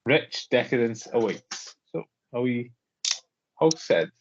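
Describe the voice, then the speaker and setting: A man co-hosts a casual podcast, slipping dry jokes into the conversation. His voice is mid-pitched and unremarkable in tone. The sound is a little dull and lo-fi. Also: slow. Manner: dry, wry quips. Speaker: podcast co-host. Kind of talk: casual chat.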